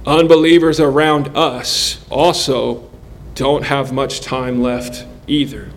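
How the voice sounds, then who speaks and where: A man in his forties preaching a sermon in an earnest, measured way, pausing between phrases. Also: medium pitch, steady tone, slight background noise. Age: 40s. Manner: earnest, measured delivery with pauses.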